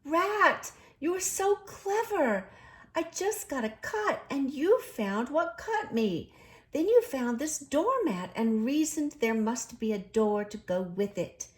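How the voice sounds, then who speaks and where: A woman voicing a small, timid, excitable male mole in a children's storybook, plaintive and emotional. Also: sits high, slow pace, pitched above the performer's own voice, exaggerated voice. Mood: delighted, admiring.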